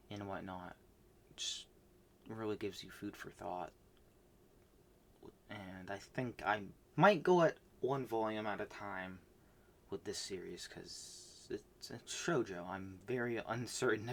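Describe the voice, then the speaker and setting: A man casually recapping the manga he read this month, rambling with many fillers and restarts, swinging between loud bursts and soft mumbles. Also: lowish voice, creaky, a little hiss or hum. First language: not Japanese. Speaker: manga reviewer.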